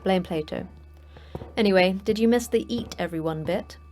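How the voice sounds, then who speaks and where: An actress playing the terse, dry manager of a secret prison for monsters in a sci-fi horror audio drama, speaking in a clipped, matter-of-fact way. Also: female voice, fairly low pitch, moderate pace, darkish tone, slight background noise, natural voice. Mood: dry, grim.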